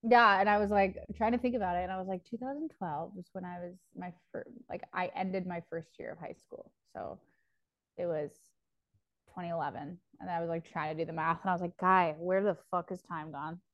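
A woman's voice: lowish voice, steady tone, fairly quick, fairly bright tone, no room sound, highs cut off. Chatty young woman telling a story. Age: 20s. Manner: rambling storytelling with filler "like" and swearing. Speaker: podcast co-host. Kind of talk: casual chat.

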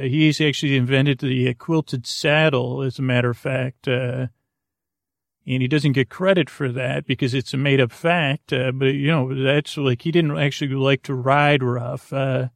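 A man with a gravelly, fry-laden voice at a middling pitch hosts a bedtime podcast. He improvises in a hesitant, meandering way, drifting from one tangent to the next. The sound is close and dry, with no room around it. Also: no room sound. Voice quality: gravelly, creaky. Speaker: podcast host. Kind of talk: rambling improvised bedtime monologue.

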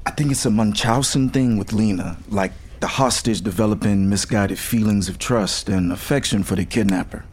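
A man's voice: on the low side, moderate pace, few pauses, a little mellow, a little hiss or hum, lightly acted. A man playing an earnest guitar-playing sidekick in an audio drama, reflective and a bit rambling. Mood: earnest, unsure. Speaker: actor in audio fiction. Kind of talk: serialized audio drama acting. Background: plucked guitar music.

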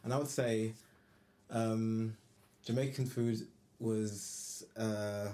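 A man's voice: fairly low pitch, fairly even intonation, very slow, warm tone. A man talking as a guest on a casual podcast, thoughtful and often restarting his sentences. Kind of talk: casual conversation.

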